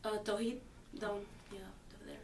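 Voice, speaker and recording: A woman's voice: mid-range voice, level tone, a little fast, darkish tone, reverberant, slight background noise. Teenage girl speaking far from the mic. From born in the United States. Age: teens. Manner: hesitant, many fillers, grows emphatic. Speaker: high school student interviewee. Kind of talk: casual interview answers.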